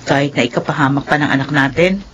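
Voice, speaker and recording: A woman's voice: low-pitched, fairly even intonation, fast, a little hiss or hum, close to an everyday voice. A woman playing a worried, pleading middle-aged wife and mother in a Filipino radio drama. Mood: worried, protesting.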